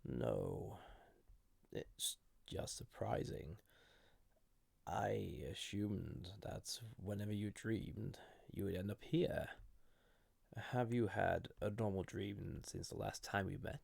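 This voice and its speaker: Man voicing a deep, gravelly ancient villain. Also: lively intonation, no room sound, exaggerated voice. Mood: curious, guarded. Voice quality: gravelly, husky, breathy. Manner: hesitant, softly intimate, deliberate. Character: banished ancient villain entity, flirty, wistful, secretly dangerous. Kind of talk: scripted audio roleplay addressed to listener.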